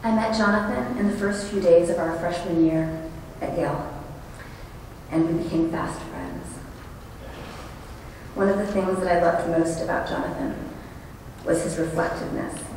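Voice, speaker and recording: A woman giving a prepared memorial tribute and poetry reading with measured, solemn phrasing. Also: lowish voice, steady tone, a little mellow. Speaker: college friend speaking at a memorial. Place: large echoing hall at a memorial service. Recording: very echoey hall, distant, noisy ambience.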